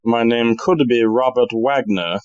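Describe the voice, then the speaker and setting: A woman's voice: extremely low pitch, measured pace, a little mellow, no echo, dull audio. A woman playing an earnest, polite young man in an audio play. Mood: wry.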